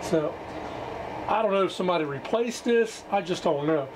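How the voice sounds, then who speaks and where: A man with a slightly high-pitched voice talks his way through a repair in a casual, unscripted way. His delivery is halting, plainspoken and folksy. The homemade recording carries some noise behind him. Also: animated. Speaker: hobbyist radio restorer hosting a repair video. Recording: clearly noisy amateur recording.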